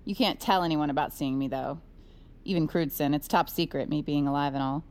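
A woman's voice: sits low, fairly quick, darkish tone, lightly acted. A woman playing a chummy superior agent in an audio drama, talking in a casual, wry, coaxing way. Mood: chummy, confiding. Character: breezy, chummy, sly superior female agent. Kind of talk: scripted audio drama acting.

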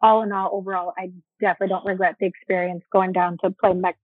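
A young adult woman from the United States, talking casually as an interview guest, relaxed and chatty with many fillers and restarts. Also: lowish voice, fast, no room sound. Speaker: interview guest, former soccer player. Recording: dry tinny phone-like line.

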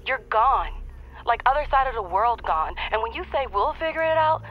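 An actress playing an anxious, teasing expectant partner in an intimate audio drama, speaking directly and emotionally. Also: female voice, fairly high pitch, sing-song, moderate pace, muffled recording. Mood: pleading, upset.

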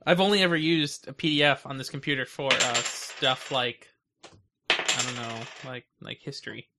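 A man co-hosting a casual podcast, talking informally in a halting, self-interrupting way. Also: mid-range voice, fairly even intonation, very noisy. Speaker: podcast co-host.

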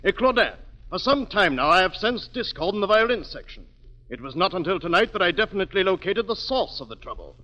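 An actor playing a polite but firm opera orchestra maestro, formal and measured, in a 1940s radio drama. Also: male voice, high voice, big pitch swings. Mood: formal, regretful. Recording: dull old 1940s radio broadcast, long reverb.

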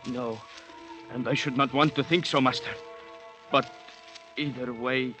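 A man's voice: medium pitch, fairly even intonation, unhurried, bright, forceful tone, soft background music. An actor playing a devoted, cautious hillman aide who speaks formally and deferentially, in a 1940s radio drama. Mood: cautious, pleading. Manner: formal, careful, pleading speech.

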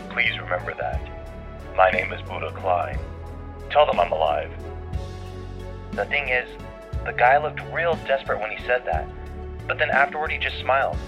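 A man's voice: lowish voice, a bit bright, close to an everyday voice. A man playing a casual, hesitant young concertgoer calling in on an audio drama. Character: laid-back young male concertgoer, unsure witness. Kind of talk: audio drama caller monologue. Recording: muffled call under music.

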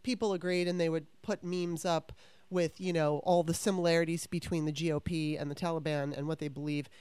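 A woman hosting a podcast, talking in a chatty, animated way with frequent restarts, close to the microphone. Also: low voice, brisk, clear, resonant tone, dry recording. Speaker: podcast host. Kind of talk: casual interview chat.

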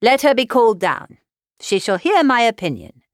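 Woman playing a deadpan, sardonic father. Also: fairly high pitch, no room sound, studio quality, voice pushed up. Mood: mock-stern. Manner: deadpan, measured, formal. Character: calm, dry-witted middle-aged father, unconcerned and sardonic. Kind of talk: audiobook character voice, classic novel.

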